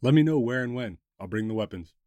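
A man playing a gruff, weapon-happy ghost hunter in an audio drama. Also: medium pitch, expressive, fast talker, mellow, dry recording, natural voice.

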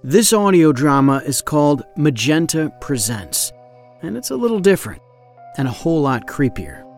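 A man's voice: on the high side, sing-song, moderate pace, darkish tone, faint music behind. A man reading a scripted podcast promo in an enthusiastic, conversational manner as host.

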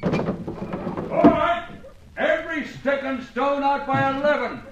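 Officious bailiff, very high voice, distant and muffled, old radio drama. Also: male voice, slow pace, echoey room. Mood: commanding. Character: bossy, barking bailiff. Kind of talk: old radio serial acting.

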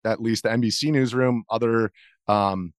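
A man's voice: mid-range voice, steady tone, normal speed, dry recording, clean studio sound. A man in his thirties speaking as an interview guest, answering casually in a hesitant, rambling way. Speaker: interview guest, video journalist.

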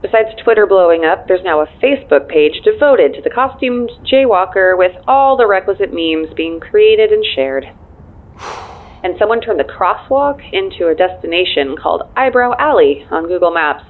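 An actress playing a dryly wry, efficient and loyal assistant in an audio drama, speaking through a phone filter with a deadpan manner. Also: female voice, medium pitch, normal speed. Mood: dry, teasing. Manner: deadpan, dry. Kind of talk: naturalistic audio drama acting. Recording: thin narrow phone-line sound.

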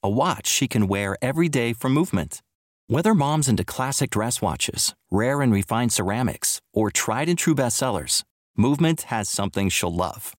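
A man giving a smooth, upbeat scripted ad read. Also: medium pitch, lively intonation, normal speed, no room sound, studio quality. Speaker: ad reader.

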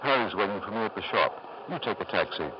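A man acting an ambitious, doting father in a 1950s radio drama, expressive and prone to stammering when anxious. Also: fairly low pitch, fast, close to an everyday voice. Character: anxious, ambitious doting father, shopkeeper, also narrating his past. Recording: muffled, noisy 1950s radio broadcast.

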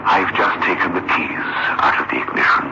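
A man's voice: deep voice, brisk, lightly acted. An actor playing a distraught, accusing man who also narrates a suspense tale, in melodramatic 1960s radio style on a noisy, muffled old recording. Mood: grim narration. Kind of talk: 1960s radio drama acting.

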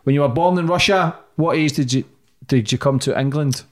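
A man's voice: on the high side, brisk. A man hosting a casual interview, matey in manner, calling his guest brother and mate. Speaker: podcast host.

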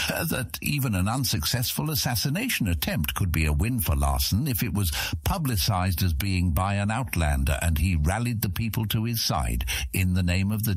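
A man's voice: low-pitched, slightly rough, dry recording. A man narrating scripted fiction as an audiobook narrator.

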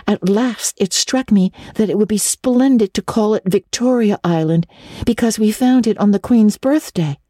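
A woman's voice: medium pitch, normal speed, mellow, dry recording, clean studio sound, natural voice. A woman voicing an earnest, romantic young girl in a dramatic storybook reading, gushing and breathless, tripping over eager repetitions. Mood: earnest, rambling. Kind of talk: dramatic audiobook reading of classic children's literature.

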